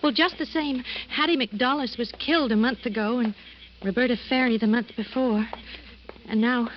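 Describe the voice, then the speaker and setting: A woman's voice: on the high side, normal speed, lightly acted. A woman playing a timid, anxious small-town lady in her thirties in an old-time radio drama. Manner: fretful, hesitant, pleading. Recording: muffled, noisy old radio recording.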